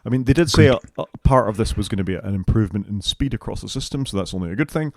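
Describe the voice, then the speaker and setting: A British man talks quickly and wryly, reading down a list and dropping in opinionated little asides. His voice sits a bit low and the sound is close and dry, with no room around it. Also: expressive, creaky, darkish tone, no room sound. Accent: British English. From United Kingdom. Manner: wry, opinionated, reads down a list. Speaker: podcast co-host. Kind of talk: casual tech chat.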